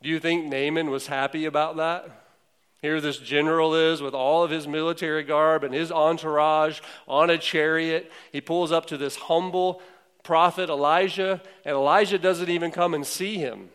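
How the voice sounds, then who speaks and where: A man's voice: fairly high pitch, fairly even intonation, normal speed, fairly bright tone, clean tone. A man preaching a sermon, retelling a story in a conversational way with frequent fillers. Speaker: pastor.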